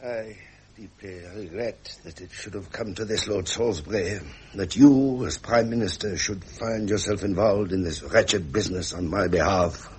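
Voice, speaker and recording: An actor playing a frustrated, proud Prince of Wales near fifty, formal and imperious, with an upper-class English accent in a period drama. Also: male voice, on the low side, moderate pace, natural voice. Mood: bitter, firm. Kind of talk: period British broadcast drama acting.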